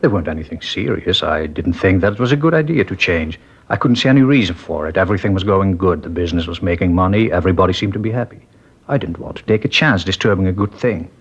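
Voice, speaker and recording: A soft-spoken, trusting older man from an old radio crime drama, hesitant and a little rambling, with a low voice. The sound is muffled and dated, like a vintage broadcast. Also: lively intonation, fast, husky, exaggerated voice. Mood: gentle. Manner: gentle, hesitant, rambling speech. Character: mild, trusting older male garment-factory owner. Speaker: witness in a police interview. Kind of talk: 1950s radio drama acting.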